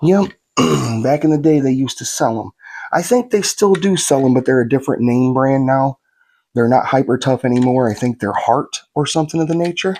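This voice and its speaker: A man's voice: mid-range voice, expressive, normal speed, a little mellow. A man narrating a how-to build in a laid-back, folksy way while he works, sniffly from a slight cold. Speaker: guitar kit builder.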